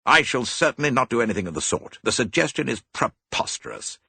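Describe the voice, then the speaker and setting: A man voicing a stiff, irritable gentleman who answers grudgingly. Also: extremely low pitch, very animated, unhurried, vocal fry, slightly rough, no echo, full character voice. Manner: stiff and irritable, with hesitant ums.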